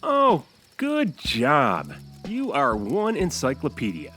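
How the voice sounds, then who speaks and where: An actor playing a boozy, wisecracking DJ who quips sarcastically and hesitantly in audio drama banter. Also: male voice, fairly high pitch, huge pitch swings, slow, bright, forceful tone, soft background music, heavily acted. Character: boozy, wisecracking DJ named Max.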